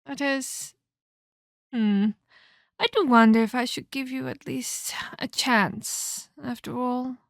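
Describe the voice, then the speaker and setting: A woman performs a sinister female vampire captor in a dramatic ASMR roleplay. She speaks slowly and deliberately, with theatrical, condescending menace and taunting scorn. The sound is very dry, with no room around the voice. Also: high-pitched, steady tone, creaky, no echo, full character voice. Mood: menacing.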